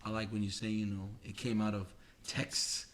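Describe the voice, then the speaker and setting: A man co-hosts a casual podcast conversation, speaking in a halting, reflective way and stretching words for emphasis. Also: on the low side, little pitch movement, fairly bright tone. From Queens, New York, United States. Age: 40s. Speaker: podcast co-host.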